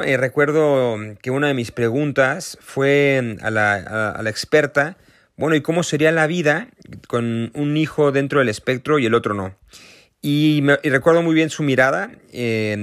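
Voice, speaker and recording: A Mexican man in his thirties speaks earnestly in a recorded message, pausing often as he searches for words. His voice sits at an ordinary pitch. The recording is dry and close, with no room sound. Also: fairly bright tone, dry recording. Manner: earnest, thoughtful, with frequent hesitations. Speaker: guest parent, association founder.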